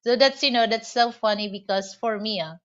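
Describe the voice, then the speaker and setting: A woman's voice: a little high, fairly quick, a bit bright, dull audio. A woman from the Philippines with a Filipino accent, a native Hiligaynon speaker, talking casually in a rambling vlog monologue full of "you know" fillers. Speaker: vlogger.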